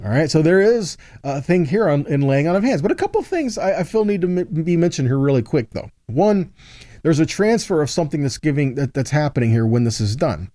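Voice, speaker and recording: A man's voice: fairly high pitch, lively intonation, a little fast, mellow, no room sound, studio quality. A man giving a conversational Bible teaching, explaining point by point and often checking in with the listener. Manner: explanatory, checks in with "okay?" and "right?".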